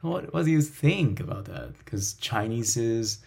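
Halting, gravelly-voiced man from Taiwan. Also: mid-range voice, very animated, darkish tone. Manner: halting, restarts and repeats words, chuckles often. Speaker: host, language teacher. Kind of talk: casual conversation.

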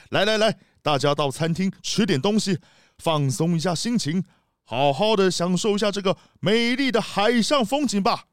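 A man's voice: sits high, expressive, moderate pace, clear, resonant tone, no echo, studio quality. An actor playing a jovial, reassuring city mayor who addresses his guests like a grand host in a children's mystery audio drama.

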